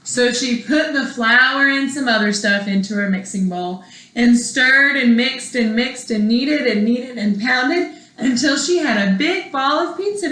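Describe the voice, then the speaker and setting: A woman schoolteacher reading a children's storybook aloud expressively, as if to her young students. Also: high voice. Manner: expressive, asks young listeners questions. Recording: echoey room.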